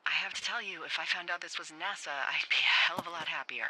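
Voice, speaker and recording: An American actress playing a blunt, suspicious fire lookout in a video game, with an emphatic, natural conversational delivery. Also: female voice, deep voice, fast talker, bright, forceful tone, full character voice, rough recording. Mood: wry, suspicious. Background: faint background music.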